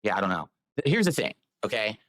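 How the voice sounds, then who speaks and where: A man's voice: on the low side, sing-song, quick pace, a bit bright, dry recording, studio quality. A man live-streaming, rambling while he fiddles with his microphone and audio settings.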